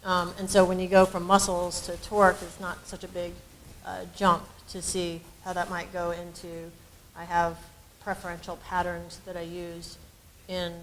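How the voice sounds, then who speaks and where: A woman's voice: fairly low pitch, monotone, moderate pace, hardly any pauses, slight background noise, low-quality recording. A woman on a university panel, explaining ideas in a searching, thoughtful way with frequent ums. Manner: explanatory, many fillers and restarts. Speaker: panelist and researcher. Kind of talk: academic panel discussion.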